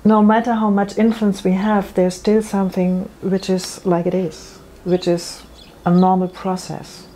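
A woman's voice: medium pitch, level tone, a little slow, warm tone, a little hiss or hum, low-quality recording. A middle-aged woman, a natural medicine practitioner, answering interview questions earnestly, often restarting her sentences. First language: German. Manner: earnest, explanatory, with frequent restarts. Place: room with bookshelves.

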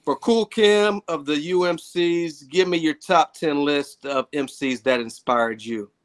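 A man hosting a podcast interview over a video call, asking questions in a relaxed, easygoing way. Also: on the high side, measured pace. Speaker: podcast host.

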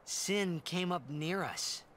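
A man's voice: high-pitched, takes it slowly, fairly bright tone, a little hiss or hum. A young man playing an earnest, impulsive adventurer in a video game, boyish and casual. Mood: wistful, curious. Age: young adult.

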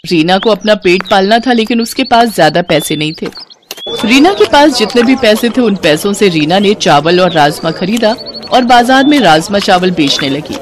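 A woman's voice: medium pitch, lively intonation, normal speed, noisy background. A woman storyteller reading scripted narration for a moral story.

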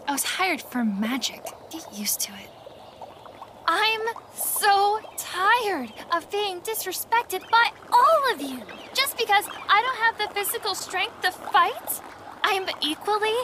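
A resentful, haughty young sorceress acted by a woman in a fantasy audio drama. Her voice is high, bright and sharp, with slow, petulant, dramatic phrasing. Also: expressive, a little hiss or hum, exaggerated voice. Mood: resentful, defiant. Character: resentful, haughty young female mage, defiant.

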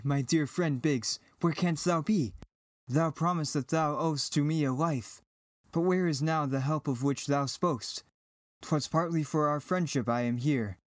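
A man's voice: medium pitch, dry recording, clean studio sound, lightly acted. A man playing a brave, earnest young rebel pilot, declaiming Shakespearean verse in an audio drama. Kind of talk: dramatic reading in Elizabethan verse.

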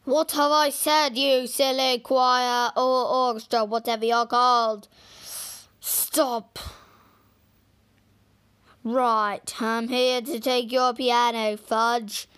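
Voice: mid-range voice, level tone, slow pace, pauses often, a bit bright, clear, resonant tone, no room sound. A young storyteller acting out an amateur toy puppet play in a theatrical, exclamatory way.

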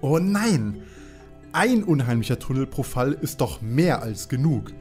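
A man playing a jittery, reluctant young detective sidekick in a parody audio drama, anxious and excitable. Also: mid-range voice, measured pace, faint music behind. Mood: nervous, reluctant.

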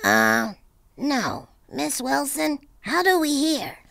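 An eager cartoon shark student, voiced by a woman, gravelly and fried at the edges, pitched fairly high and swinging wildly up and down. It asks curious questions and joins in with playful noises, like a character from a kids' science show. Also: wildly swooping pitch, takes it slowly, fairly bright tone, no room sound, studio quality, faint music behind, full character voice. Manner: eager, playful, makes sound effects. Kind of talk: educational cartoon voice acting.

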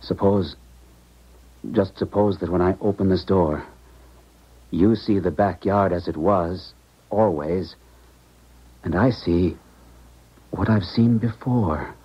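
A man's voice: deep voice, takes it slowly, long pauses, slightly rough, full character voice. An actor playing a strained, stubborn scientist haunted by his dead wife, in a 1970s radio drama. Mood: hesitant. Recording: dull 1970s radio recording, music behind.

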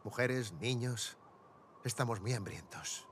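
A man playing a calm, middle-aged leader of survivors in a video game, measured and placating, with a Castilian Spanish accent. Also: mid-range voice, a little hiss or hum, close to an everyday voice. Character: calm, conciliatory male leader of hungry survivors.

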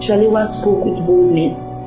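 A woman narrating an audio drama in a plain, steady storytelling manner. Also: on the low side, unhurried, muffled recording. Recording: echoey room with music.

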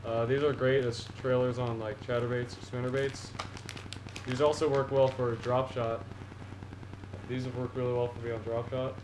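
A man's voice: medium pitch, moderate pace. A teenage boy showing off fishing gear in a casual haul video, rambling with many ums and restarts, seated on a couch in front of a camera on the floor. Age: teens. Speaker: fishing vlogger. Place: small indoor room, seated on a couch. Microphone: camera on the floor in front of him. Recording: echoey room with a steady noise floor.

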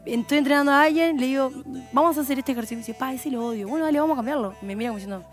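A woman's voice: sits high, rapid-fire, soft background music. A woman in her thirties with a Uruguayan accent, a gym trainer guest chatting on a studio stream and telling little anecdotes. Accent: Uruguayan Spanish. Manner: chatty, explains with quoted anecdotes. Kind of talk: casual studio chat.

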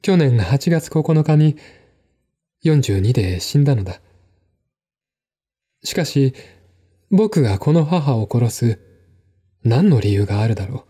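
Man acting a composed, mellow-voiced gentleman explaining. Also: mid-range voice, sing-song, a little slow, long pauses, husky. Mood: measured, reflective. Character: composed, articulate man recounting his stepmother's death. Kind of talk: one-man audio drama dialogue.

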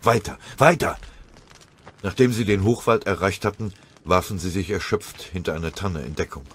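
Very deep-voiced male audiobook narrator. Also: brisk, a little hiss or hum. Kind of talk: dramatic scripted war-story narration.

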